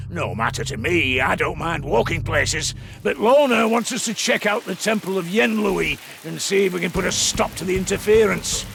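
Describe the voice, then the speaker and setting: Gruff dwarf warrior-engineer, very gravelly, animated swooping delivery, hearty and boastful, video game lines. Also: male voice, high-pitched, a little hiss or hum, full character voice. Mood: wry, grumbling. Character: gruff, boisterous dwarf engineer, fond of fights. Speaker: mission-briefing game character.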